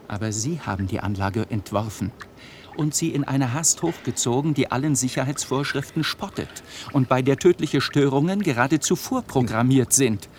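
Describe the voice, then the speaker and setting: An actor plays a grave, principled man in a radio play. His mid-pitched voice is formal and deliberate, over faint ambient noise. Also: a little mellow, natural voice. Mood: bitterly reproachful. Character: grave, accusing man of bitter moral conviction. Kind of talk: German radio play acting.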